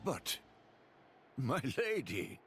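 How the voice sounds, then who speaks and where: A man's voice: medium pitch, huge pitch swings, extremely slow, heavily acted. A man voicing a devoted, formal, deferential beast companion in a video game cutscene. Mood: earnest. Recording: game music behind the voice.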